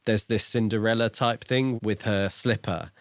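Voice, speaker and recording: Male book podcast co-host, lowish voice, reflective conversational delivery, dry studio-like sound. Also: fairly even intonation, moderate pace, no echo. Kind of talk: casual book discussion.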